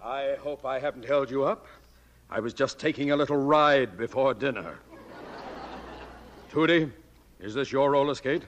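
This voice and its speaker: A man's voice: mid-range voice, normal speed, long pauses, slight background noise, lightly acted. An actor playing a grumpy, blustering, sarcastic father in 1940s radio style, with an exasperated, pompous way of talking. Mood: dry, stern. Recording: dull old radio recording.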